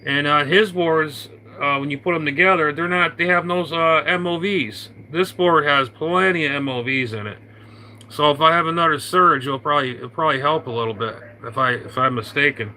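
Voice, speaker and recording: A man's voice: fairly high pitch, moderate pace, bright, forceful tone, a little hiss or hum, low-quality recording. A man in casual on-air radio chat, rambling with many fillers and restarts. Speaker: radio operator.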